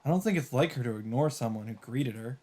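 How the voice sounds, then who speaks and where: A man's voice: mid-range voice, expressive, fast talker. A man playing through a visual novel, reading its narration aloud and dropping in wry, offhand comments. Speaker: let's player. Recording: loud game music behind. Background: visual novel background music.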